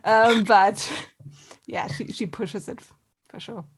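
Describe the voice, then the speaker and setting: A woman speaks as a guest on a podcast. Her voice sits in the middle range. She is reflective and conversational, pausing and hesitating as she thinks through each answer. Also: mid-range voice, fairly even intonation, breathy edge. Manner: thoughtful, hesitant. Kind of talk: casual reflective chat.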